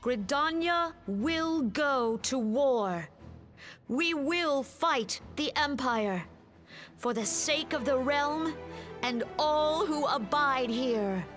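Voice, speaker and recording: A woman playing a gentle, noble national leader in a fantasy video game, solemn and measured in formal archaic phrasing. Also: high-pitched, animated, faint music behind, heavily acted. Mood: solemn, resolved.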